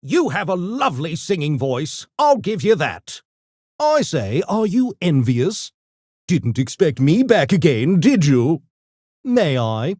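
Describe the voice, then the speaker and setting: Pompous genteel gremlin for a game: unhurried, theatrical, extremely swooping intonation, mischievous politeness. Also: male voice, fairly high pitch, very animated, a little mellow, no room sound, studio quality, heavily acted. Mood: smug, urbane. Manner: posh, pompous, theatrical, mock-polite diction. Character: urbane genteel mischievous gremlin, a genetically engineered intellectual creature. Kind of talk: video game character voice lines.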